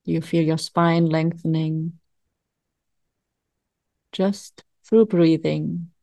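Slow, mellow woman guiding meditation. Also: deep voice, creaky, no room sound. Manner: slow, calm, soothing instruction with long pauses. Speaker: meditation coach. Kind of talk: guided meditation.

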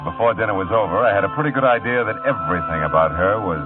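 Hard-boiled wisecracking private eye, low and dry, played by a man, tinny vintage radio. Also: racing, over music, close to an everyday voice. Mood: wry. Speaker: detective narrating his own case. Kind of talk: 1950s radio drama acting. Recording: tinny lo-fi old radio broadcast.

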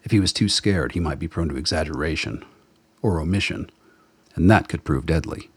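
A man reading scripted dramatic narration as the narrator of a radio play, with a husky voice. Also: very deep voice, fairly quick, no echo.

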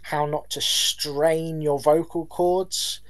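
A man, a band's lead singer, answering interview questions in a measured, polite way with some hesitations. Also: on the high side, level tone. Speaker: band lead singer, guest.

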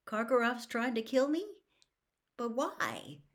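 A woman's voice: fairly high pitch, sing-song, takes it slowly, pitched above the performer's own voice. A woman voicing an anxious teenage boy wizard, asking alarmed questions in a raised voice.

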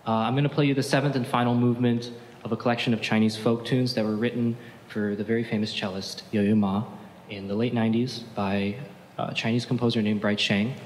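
A man's voice: medium pitch, steady tone, fairly quick, slight background noise. A young male cellist casually introduces his piece from a concert stage, with a few hesitant fillers. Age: young adult. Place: concert auditorium. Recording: roomy hall reverb with faint ambience.

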